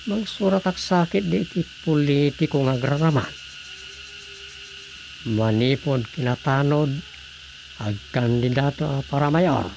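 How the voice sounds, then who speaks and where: An actor with an Ilocano accent playing a folksy, comic small-town mayor and husband in a radio drama comedy. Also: male voice, on the high side, unhurried, vocal fry, pauses often. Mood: grumbling, comic. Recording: noisy background with cricket-like ambience.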